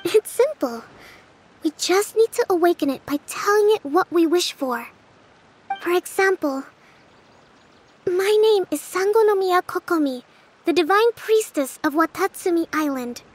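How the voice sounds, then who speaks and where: A woman playing a gentle, wise young priestess and strategist in a video game, earnest, articulate and reassuring. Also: extremely high pitch, expressive, measured pace, a little hiss or hum. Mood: calm, encouraging. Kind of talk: scripted video game voice acting.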